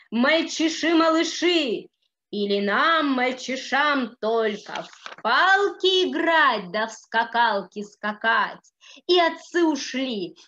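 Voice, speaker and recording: A young woman voicing a brave young boy in a storybook, calling out loudly to rally his friends. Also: high voice, voice pushed up, exaggerated voice. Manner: loud, drawn-out rallying calls. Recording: dull lo-fi webcam sound.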